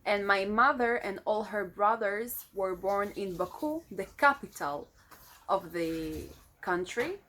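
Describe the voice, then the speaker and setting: Slow, reflective Israeli woman in her twenties. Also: medium pitch, a bit bright. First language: Hebrew. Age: 20s. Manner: reflective, searching for words with many fillers. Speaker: vlogger. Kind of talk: casual personal vlog monologue.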